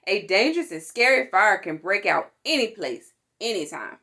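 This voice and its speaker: A woman's voice: medium pitch, big pitch swings, normal speed, pushed and bright. A woman voicing an earnest, protective superhero boy in a storybook, speaking in heroic declarations.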